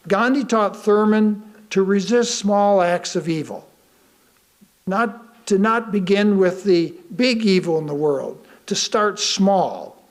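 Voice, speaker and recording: A man reading a sermon aloud from a script, deliberately and with emphatic pauses. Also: high voice. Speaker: lay reader of a sermon.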